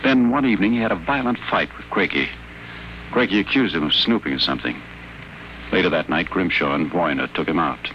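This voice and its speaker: An actor playing a guarded, secretive addict patient, confiding in low, careful tones, in 1940s radio acting style. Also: male voice, fairly quick, sharp tone, slight background noise, poor audio.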